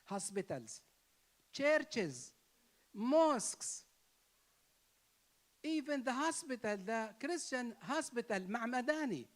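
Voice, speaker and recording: A man's voice: very high voice, very animated, slow, long pauses. A man from Palestine, a native Arabic speaker with a Palestinian Arabic accent, giving an impassioned, emphatic speech at an interfaith gathering. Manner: emphatic, repetitive, rising to stress key words.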